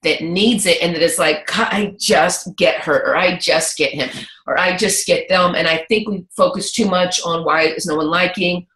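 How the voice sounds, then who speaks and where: A woman's voice: lowish voice, moderate pace, reverberant, low-quality recording. A woman hosting a casual chat, talking candidly and acting out little quoted thoughts as she goes. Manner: candid, animated, talks through asides and quoted thoughts. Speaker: host.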